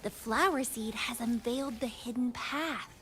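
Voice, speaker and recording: An actress playing a possessive, teasing young woman fighter in a fantasy video game, with deliberate, dramatic delivery. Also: fairly high pitch, a bit bright, slight background noise, lightly acted. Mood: curious, composed. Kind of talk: theatrical video game voice acting.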